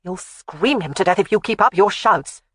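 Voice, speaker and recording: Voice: mid-range voice, lively intonation, moderate pace, fairly bright tone, slightly rough, dry recording, studio quality. The audiobook narrator voicing a protective young woman who scolds firmly. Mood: scolding. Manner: scolding, firm. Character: fierce, protective young woman caring for a baby.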